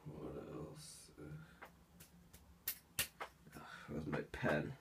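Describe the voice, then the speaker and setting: A man's voice: extremely low pitch, extremely slow, gravelly, distant mic, background noise. A man voicing a haughty, sick young heir in an ASMR roleplay, formal and hesitant with fillers, stuffy with a cold and sneezing.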